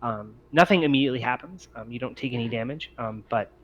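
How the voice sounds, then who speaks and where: A man acting as game master, improvising narration and talking through rules in a hesitant, deliberate way. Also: mid-range voice, dull audio. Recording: clear background noise.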